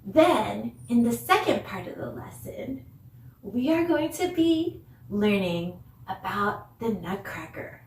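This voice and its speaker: A woman giving an encouraging, deliberate dance lesson for children, demonstrating as she teaches. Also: mid-range voice, very animated, darkish tone. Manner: mixes English with simple Spanish phrases. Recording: echoey room, distant microphone.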